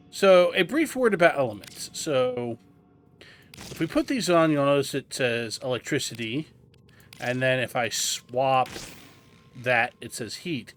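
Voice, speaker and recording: Guy doing a laid out game walkthrough, medium pitch, casual n explanatory. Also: a bit bright. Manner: explanatory, informal. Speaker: video game guide host. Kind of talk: casual tutorial commentary over gameplay. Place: indoors, gameplay voice-over recording.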